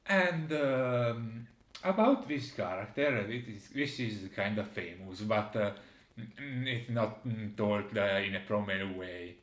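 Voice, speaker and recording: A man with a fairly low voice comments on a video game as its presenter. He speaks hesitantly, stopping to search for the next phrase. The room around him echoes noticeably. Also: a little slow. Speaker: host presenting a game critique. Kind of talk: video game commentary, reading dialogue aloud.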